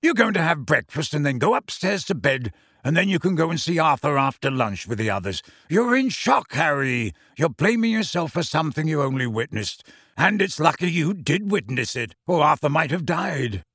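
A man's voice: fairly high pitch, moderate pace, breathy edge, no echo, clean studio sound, exaggerated voice. A man playing a hearty, firm godfather. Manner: loud and hearty, then firm and steady.

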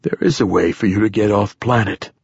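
A man voicing an ancient, bedridden old poet with a raspy, tired, declamatory voice in an audiobook story. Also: fairly low pitch, a little fast, no echo, studio quality. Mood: grave, insistent.

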